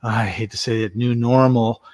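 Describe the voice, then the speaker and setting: A man's voice: mid-range voice, fairly even intonation, moderate pace, darkish tone, a little hiss or hum. A man hosting a casual remote chat, joking around and explaining ideas through long spoken analogies. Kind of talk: casual podcast chat over a call. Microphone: remote call mic.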